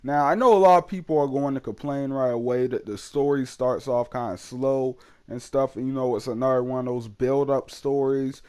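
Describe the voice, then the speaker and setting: Young guy rambling about a game he just beat, lots of ums, kinda hoarse from a cold. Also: mid-range voice, normal speed, hardly any pauses, mellow. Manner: rambling, many fillers and restarts. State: a bit hoarse, coming down with a cold. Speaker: gaming channel host. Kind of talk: casual game review talk.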